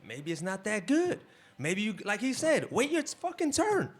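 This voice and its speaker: A man's voice: high voice, wildly swooping pitch, moderate pace. A man from New Jersey speaking up from the audience at a community panel, loose and rambling, swearing freely. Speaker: audience member. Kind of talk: casual speech at a community panel.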